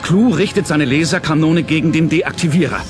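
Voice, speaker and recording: A man's voice: on the high side, a little fast. Dramatic male narrator over music. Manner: theatrical, suspenseful, articulate. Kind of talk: dramatic scripted narration for a radio play.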